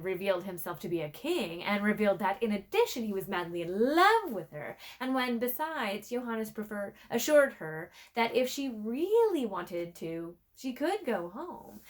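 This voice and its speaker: A woman reading a fairy tale aloud as a storyteller, theatrical and playfully conspiratorial. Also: a little high. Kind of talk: expressive scripted narration of a fairy tale.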